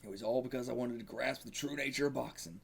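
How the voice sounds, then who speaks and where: Man acting out gruff, remorseful old boxing coach. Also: medium pitch, quick pace. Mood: solemn, remorseful. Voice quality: gravelly.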